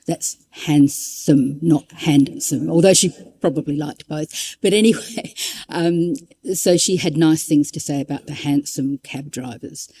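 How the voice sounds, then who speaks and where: A woman's voice: low-pitched, dark tone, breathy edge. A woman giving an informal history talk, conversational and wry, drifting into asides and reading out quotations. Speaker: public lecturer.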